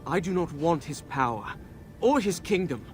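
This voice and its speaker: Man playing expressive, earnest young prince. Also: fairly high pitch, normal speed, soft background music, close to an everyday voice. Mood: earnest, resolute. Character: young prince, earnest, defiant, loyal to his brother. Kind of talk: video game cutscene acting.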